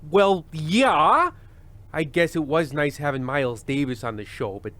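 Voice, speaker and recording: A man's voice: fairly high pitch, lively intonation, a little slow, fairly bright tone. A man playing an anxious, prim, fading variety show host in a radio comedy, earnest and hesitant in delivery. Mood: reluctant. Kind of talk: old-time radio comedy acting.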